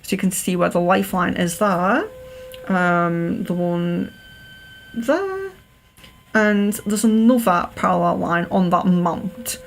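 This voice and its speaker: An English woman from near Liverpool with a slight Liverpool accent, reading palms on a livestream in a careful, clear telephone voice with frequent ums and restarts. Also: lowish voice, lively intonation, slow, low-quality recording. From England. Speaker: psychic livestream host.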